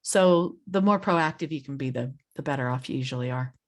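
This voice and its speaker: A woman's voice: a bass voice, a little fast. Woman presenting an explanatory webinar. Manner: conversational, explanatory, checks in with "right?". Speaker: webinar presenter, program expert.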